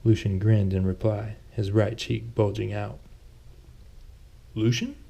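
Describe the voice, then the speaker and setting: Male author-narrator for fantasy prose, low pitch, mellow tone, audiobook read. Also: little pitch movement, normal speed, creaky, breathy edge. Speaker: author reading his own novel.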